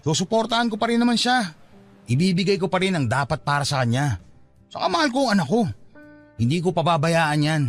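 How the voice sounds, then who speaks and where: Lalaking gumaganap na sawing binata, mataas at madamdaming boses. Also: male voice, fairly high pitch. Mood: frustrated, hurt. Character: hurt, fed-up young father giving up on his unrequited love. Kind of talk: Filipino radio drama acting.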